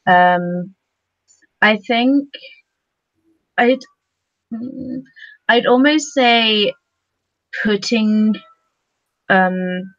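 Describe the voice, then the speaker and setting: A woman talking casually on a discussion panel, halting as she thinks aloud. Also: fairly high pitch, fairly bright tone, dry recording. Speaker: podcast panelist. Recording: dull lo-fi sound.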